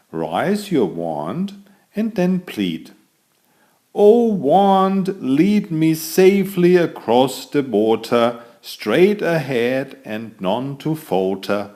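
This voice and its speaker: A theatrical master wizard lectures his new students in a raised, booming voice, as a man acts him out for a storybook. His delivery is unhurried, with wide swings in pitch. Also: warm tone. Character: grand, theatrical male master wizard teacher.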